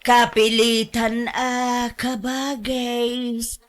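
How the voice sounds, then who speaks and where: Slow, exasperated older mother. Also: a little high, little pitch movement. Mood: weary disapproval. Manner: nagging, complaining. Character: exasperated older mother scolding her grown daughter. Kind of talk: Ilocano radio drama acting.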